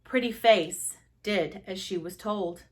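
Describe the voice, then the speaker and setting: A woman narrates a children's storybook at a slow pace. Her voice sits at a middle pitch, and she reads expressively, pausing for dramatic emphasis. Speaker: storybook reader.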